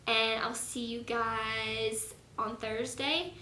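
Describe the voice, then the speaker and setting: A young woman with a hoarse, fading voice, talking earnestly and rambling a little in a casual faith vlog. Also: on the high side, fairly even intonation, extremely slow, sharp tone. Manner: earnest, rambling, lots of fillers. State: hoarse, losing her voice. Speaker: vlogger. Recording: echoey room, faint noise.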